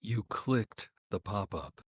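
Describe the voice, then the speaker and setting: A man's voice: fairly low pitch, lively intonation, slow, breathy edge, dry recording, heavily acted. A man playing an exasperated, scolding, foul-mouthed agent in a script read-through. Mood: seething, deadpan.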